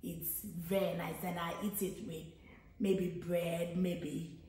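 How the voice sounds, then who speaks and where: A woman's voice: fairly low pitch, level tone, slow, warm tone, a little hiss or hum. A woman in her thirties from Nigeria with a Nigerian accent, chatting casually as a vlogger and thinking aloud with frequent restarts. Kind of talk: casual get-to-know-me chat. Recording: very echoey room.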